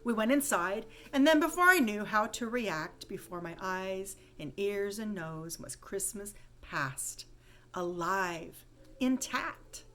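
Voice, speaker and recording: A woman reading a rhyming holiday story poem aloud with expressive, dramatic storytelling emphasis. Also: mid-range voice, takes it slowly, a little hiss or hum. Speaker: poem reader.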